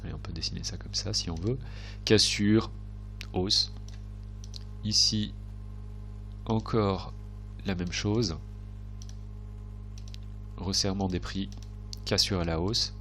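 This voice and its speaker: A low-pitched man, a little stuffed up with a cold, talks through charts off the cuff. He hesitates and rephrases as he thinks aloud. A faint hum and some noise sit under his voice. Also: a bit bright. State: slightly congested with a cold. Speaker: trading video host. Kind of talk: unscripted chart commentary.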